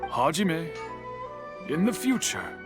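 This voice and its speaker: A man playing an earnest, gently stern older master craftsman in an anime video game, speaking in a measured, formal way. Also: a little high, huge pitch swings, music in the background, full character voice. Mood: solemn, instructive.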